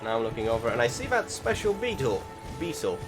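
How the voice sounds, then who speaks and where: A man's voice: mid-range voice, very animated. Man rambling through casual gameplay commentary. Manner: rambling, halting, self-correcting. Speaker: gamer giving commentary. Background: game music.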